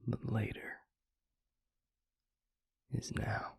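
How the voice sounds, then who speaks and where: A man playing a weary, guilt-ridden vampire in an intimate audio drama, confiding in a husky, halting near-whisper. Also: dry recording, clean studio sound. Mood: heavy, subdued. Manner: slow, halting, brooding confession. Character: weary, guilt-ridden male vampire and former healer, confiding in his mate. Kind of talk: intimate audio roleplay drama, hushed monologue.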